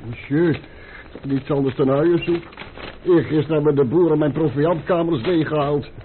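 An actor playing a frightened, deferential French innkeeper with a stammering delivery in a historical radio play. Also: male voice, fairly high pitch, moderate pace, natural voice. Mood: servile. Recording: tinny, band-limited old radio drama with noise.

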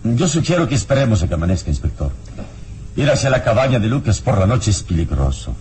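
Deep-voiced, serene, wise hero. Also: male voice, slight background noise. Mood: grave, composed. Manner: measured, solemn, calming. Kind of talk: old radio serial acting.

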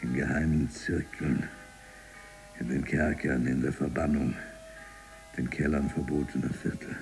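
Very deep, gravelly embittered libertine. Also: male voice, flat delivery, a little slow, darkish tone, faint music behind, full character voice. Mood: brooding, defiant. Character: embittered imprisoned male libertine writer with revolutionary zeal. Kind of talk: literary radio drama monologue.

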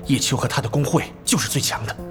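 A man's voice: medium pitch, fast, music in the background, natural voice. A man playing an anxious, deferential guild officer giving earnest reports in a Mandarin audio drama. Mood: uneasy reporting.

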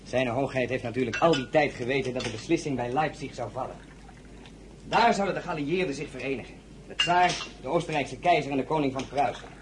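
An actor playing a proud, loyal count serving as a royal military aide, formal and earnest, in an audio drama. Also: male voice, medium pitch, brisk, noisy background, lightly acted. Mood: solemn, reporting. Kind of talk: Dutch audio drama acting.

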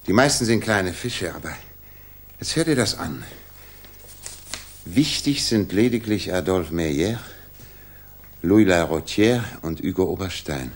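An actor playing a confident, commanding male detective in a 1960s German radio play, with a husky voice. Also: low voice, expressive, measured pace, long pauses. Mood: intent. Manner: commanding, probing, self-assured.

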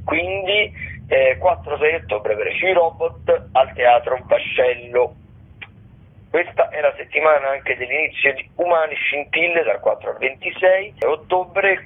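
Gravelly man presenting radio arts listings. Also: mid-range voice, narrow, tinny audio. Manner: hesitant, halting delivery with self-corrections. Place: radio studio.

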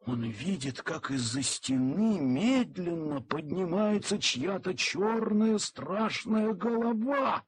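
A man narrating a scripted story as a dramatic, expressive and suspenseful storyteller. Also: sits high, slow, few pauses, slightly rough.

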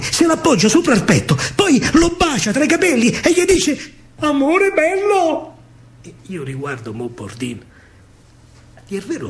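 A man with a Roman accent performing a dramatic verse monologue as a storyteller, declamatory and emphatic. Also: high voice. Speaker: actor and storyteller. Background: music.

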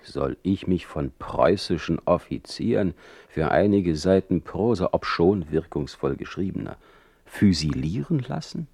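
A proud, brooding German poet in a radio play. His voice is very low and gravelly, recorded dry and close. He speaks rhetorically, with theatrical irony. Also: expressive, unhurried, darkish tone, no room sound, full character voice. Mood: suspicious, brooding. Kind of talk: German radio play acting, letter monologue.

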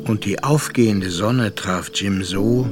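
A man narrating a sea tale in a German radio play, calm, grave and measured. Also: fairly low pitch, music in the background. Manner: measured, sober.

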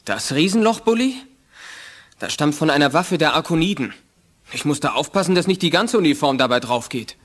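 A keen junior-sounding space officer, with a fairly high voice. He explains respectfully and factually, in radio drama style. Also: expressive, moderate pace. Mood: matter-of-fact. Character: keen, matter-of-fact military officer. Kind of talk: radio play acting.

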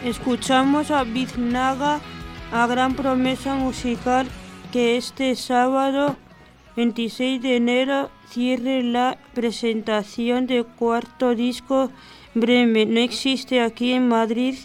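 Slow, flat-toned female radio host. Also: on the high side, soft background music. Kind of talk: radio show introduction and interview.

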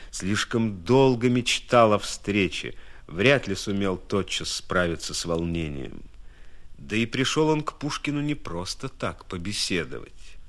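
Male radio drama narrator: very low register, unhurried, measured, literary delivery. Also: fairly bright tone.

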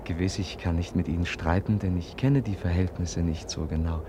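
Guy playing a gentle frail prince, low voice, earnest and hesitant, noisy old radio drama recording. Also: fairly even intonation, close to an everyday voice. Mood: candid. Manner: polite, earnest, hesitant with thoughtful pauses. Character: gentle, frail, candid young Russian prince. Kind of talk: 1950s German radio play acting.

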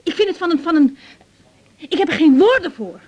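A woman's voice: very high voice, lively intonation, fairly quick, darkish tone, dull audio. A woman acting in a radio drama as a hurt, exasperated young grown daughter, blunt and reproachful.

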